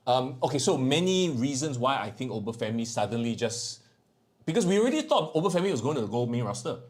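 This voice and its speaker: A young Singaporean man co-hosting a wrestling podcast, animated and jokey, dropping Singlish into casual chat. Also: medium pitch, brisk. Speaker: podcast co-host.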